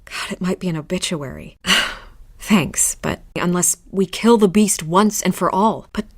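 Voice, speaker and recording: A woman playing a savvy, skeptical newspaper reporter in a video game, speaking in a wry, measured, natural way. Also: lowish voice, expressive, dry recording, clean studio sound. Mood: pensive, skeptical.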